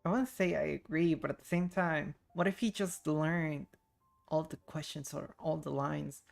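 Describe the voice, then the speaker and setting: A woman's voice: low-pitched, normal speed, gravelly, soft background music. A woman streaming a game, musing aloud in a casual, informal commentary.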